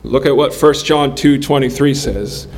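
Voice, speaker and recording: A man in his forties preaching a sermon in an earnest, measured way, pausing between phrases. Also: mid-range voice, a little mellow. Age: 40s. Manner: earnest, measured delivery with pauses.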